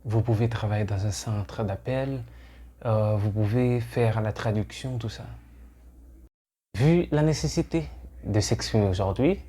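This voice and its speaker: A man's voice: lowish voice, measured pace, mellow, husky. A Haitian man whose first language is Haitian Creole, hosting his channel with an earnest, urging monologue full of hesitations. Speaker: channel host. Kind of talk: scripted monologue to camera.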